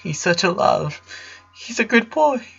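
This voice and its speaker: A high, wailing father played by a male narrator sobs through his lines in a storybook reading. He is hysterical with grief and theatrical, with a bright edge and a slightly dull recording. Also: very animated, unhurried, gravelly, breathy edge, faint music behind, full character voice. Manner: wailing, melodramatic. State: crying. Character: hysterical, tearfully grieving adult father.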